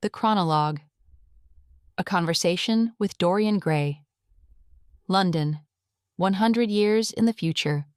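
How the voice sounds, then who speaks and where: A woman narrating a scripted audio drama in a measured, atmospheric storytelling manner. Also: mid-range voice, clean tone, no echo, studio quality.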